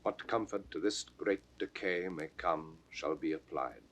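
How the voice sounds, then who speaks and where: An actor playing a grave, dignified duke in a classical Shakespeare audio drama, with a husky voice and formal, stately declamation. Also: male voice, deep voice, measured pace, a bit bright, heavily acted. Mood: grave authority. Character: grave noble duke taking command, dignified, measured. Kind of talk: 1960s recorded Shakespeare drama, classical stage acting.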